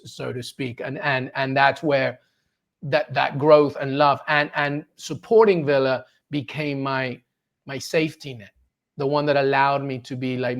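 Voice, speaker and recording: A man in his forties from Peru, a sports journalist telling his story as an interview guest in an animated, enthusiastic way, quick to laugh. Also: mid-range voice, gravelly. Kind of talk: casual storytelling in a conversation.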